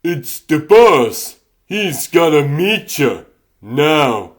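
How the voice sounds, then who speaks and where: A gangster henchman from a cartoon comic dub voiced by a man. He is blunt and threatening in streetwise slang, with a slightly high pitch and an unhurried delivery. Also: sing-song, full character voice. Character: slangy gangster henchman pushing a kid around.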